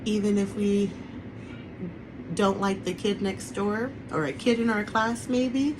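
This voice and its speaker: A woman's voice: mid-range voice, little pitch movement, a little slow, background noise. A woman who is an author, reading her children's picture book aloud on an online webinar recording. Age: adult, parent of a young son.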